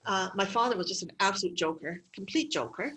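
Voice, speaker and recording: A middle-aged woman from Canada, a medical clown being interviewed, telling stories in a lively way with laughs and fillers. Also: medium pitch, a little fast. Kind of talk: interview conversation.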